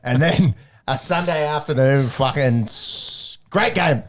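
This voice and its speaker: A man's voice: on the high side, slow pace. A man co-hosting a casual sports podcast, rambling with restarts and jokes. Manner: rambling, restarts, jokey, laughs often. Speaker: podcast co-host.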